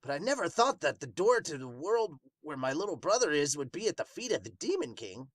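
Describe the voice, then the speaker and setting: Guy doing a devoted warrior beast big brother for a manga dub, dramatic n formal, pitched up, swoopy. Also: sing-song, brisk, dry recording. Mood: earnest.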